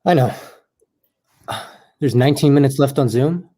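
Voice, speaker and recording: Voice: mid-range voice, normal speed, warm tone, natural voice. An actor playing an awkward, eager young adult catching up with an old friend in naturalistic play dialogue, hesitant and rambling. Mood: awkward, friendly. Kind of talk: naturalistic play dialogue over video call.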